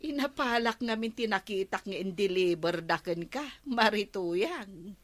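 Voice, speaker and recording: A woman's voice: medium pitch, lively intonation, unhurried. A woman with an Ilocano accent playing a homely, chatty village woman in a radio comedy drama.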